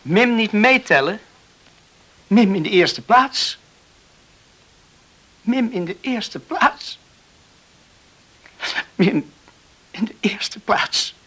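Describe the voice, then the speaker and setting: A young island man in a radio play, rescued from a storm. His voice sits fairly high and rings very bright. He speaks earnestly, with halting, moved phrasing. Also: animated, exaggerated voice. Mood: deeply moved. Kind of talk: radio drama acting.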